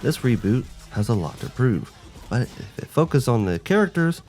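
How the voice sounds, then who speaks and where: A man's voice: mid-range voice, big pitch swings, normal speed, darkish tone. A man co-hosting a video game show, reading a scripted presentation. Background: background music.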